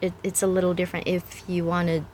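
A woman's voice: lowish voice, completely flat delivery, quick pace, a little mellow, a little hiss or hum. A woman from Taiwan hosting a podcast, explaining things patiently in a casual chat and often repeating herself as she thinks. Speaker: podcast host and language exchange partner.

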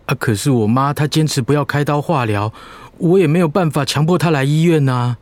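A man's voice: fairly high pitch, fairly quick, darkish tone, dry recording, close to an everyday voice. A man playing a worried, deferential son of a sick mother in a radio drama. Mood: anxious.

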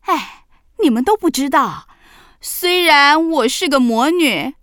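Bright, swooping carefree witch aunt. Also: sits high, lively intonation, takes it slowly, no room sound, studio quality, heavily acted. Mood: breezy, reassuring. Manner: exaggerated, teasing. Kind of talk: children's radio play acting.

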